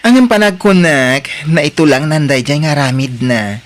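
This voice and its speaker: A nosy but supportive male coworker acted by a man in an audio drama. He talks in a chummy, expressive way with an Ilocano Filipino accent. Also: fairly high pitch, moderate pace, close to an everyday voice. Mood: commiserating. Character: chatty, nosy, supportive male office friend.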